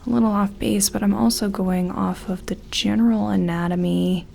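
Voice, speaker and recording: A woman doing a gentle ASMR roleplay as a careful scientist examining an alien, speaking softly and often slipping into a whisper, hesitant and reassuring. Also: medium pitch, fairly even intonation, moderate pace, a little hiss or hum. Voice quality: soft, breathy, often dropping to a whisper.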